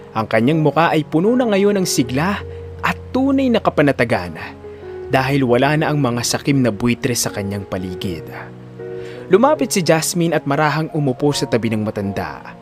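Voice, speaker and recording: Guy narrating audio drama story, expressive swings in pitch, faint music under him.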